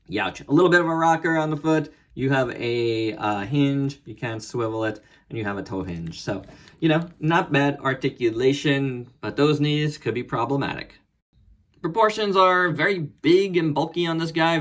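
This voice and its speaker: A man hosting a casual, unscripted toy review, thinking aloud in short, halting phrases. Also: medium pitch, slight background noise. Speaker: toy reviewer and channel host. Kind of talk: casual, unscripted hands-on product review commentary.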